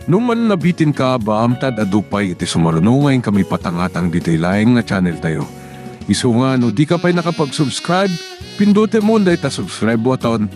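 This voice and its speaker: Male host, scripted channel outro, lively intonation, medium pitch, plucked guitar behind. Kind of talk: scripted outro asking for subscribers. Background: soft guitar music.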